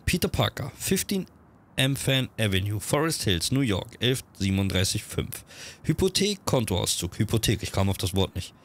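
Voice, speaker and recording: A man with a cold, chatting quickly through casual gameplay commentary and reading on-screen text aloud. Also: lowish voice, very animated. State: has a cold. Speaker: let's-play gamer.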